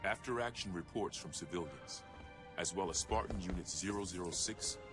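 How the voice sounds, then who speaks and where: A male actor playing a stern military intelligence officer, narrating an enemy dossier in a measured, formal, grave manner. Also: low voice, lightly acted. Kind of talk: scripted dramatic briefing narration. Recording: game cutscene audio with music underneath. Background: music.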